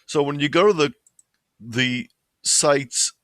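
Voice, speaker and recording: A man's voice: fairly high pitch, slow pace, a bit bright, no echo. Man presenting, dry close sound. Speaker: webinar presenter. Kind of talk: informal instructional webinar talk. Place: indoors, online webinar.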